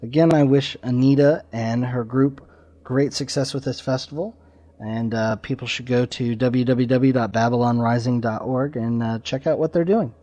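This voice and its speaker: A man's voice: mid-range voice, fairly even intonation, moderate pace, warm tone, no room sound. A man speaking as a podcast host, interviewing guests in a conversational way.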